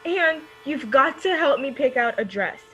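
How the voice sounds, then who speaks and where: A woman playing an effusive, pushy high-society friend in an old-time radio drama, theatrical and insistent. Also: expressive, moderate pace, fairly bright tone, dull audio, a little hiss or hum. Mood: insistent, coaxing.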